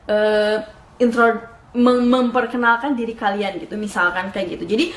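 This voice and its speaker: A woman's voice: medium pitch, steady tone, normal speed. A young woman from Indonesia giving casual vlog tips, chatty and laughing at herself as she switches between two languages. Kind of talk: casual vlog talk to camera. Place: home room in Dublin. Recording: echoey room, faint background noise.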